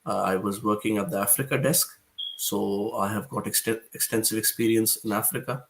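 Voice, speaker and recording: A man from Pakistan, a guest author answering interview questions hesitantly, often pausing and restarting. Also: on the low side, steady tone, gravelly, a little hiss or hum. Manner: hesitant, with frequent "uh" fillers and restarts.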